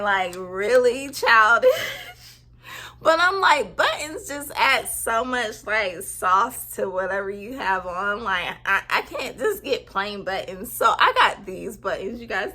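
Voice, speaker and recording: A woman's voice: medium pitch, pushed and bright. A woman in her thirties, a sewing vlogger, chatting casually and giggling often as she talks to camera. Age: 30s. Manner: giggly, bubbly, lots of "like". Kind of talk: casual chat.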